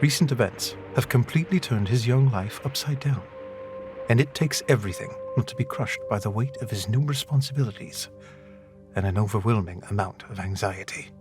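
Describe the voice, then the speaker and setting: A man's voice: fairly low pitch, a little fast, music in the background. A man giving scripted, dramatic narration as the narrator of an audio adventure series.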